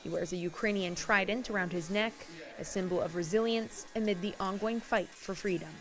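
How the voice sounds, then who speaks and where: Mid-pitched woman reporting the news. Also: a little fast, faint music behind. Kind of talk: scripted news narration.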